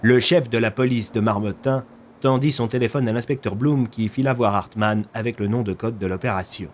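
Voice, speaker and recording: A man's voice: on the low side, slight background noise. A man narrating a scripted audio fiction as a storyteller.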